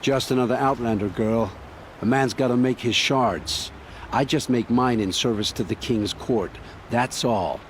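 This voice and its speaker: An actor playing an evasive, gruff male scout and scavenger, blunt and defensive in a video game cutscene. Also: medium pitch, a little slow, slight background noise, natural voice. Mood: wry, dismissive.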